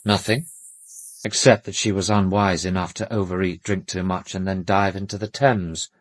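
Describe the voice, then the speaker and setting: A well-bred gentleman in a radio drama. His voice is low and husky, recorded dry. He speaks politely and formally, guarded and a little defensive. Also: fairly even intonation, normal speed, dry recording. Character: smooth-mannered, defensive upper-class male family associate.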